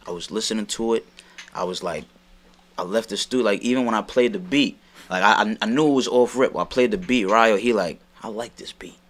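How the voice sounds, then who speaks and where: Deep-voiced young New man. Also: fairly bright tone. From Brooklyn, New York. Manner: laid-back, rambling, heavy on fillers. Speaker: rapper guest. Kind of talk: casual sit-down chat.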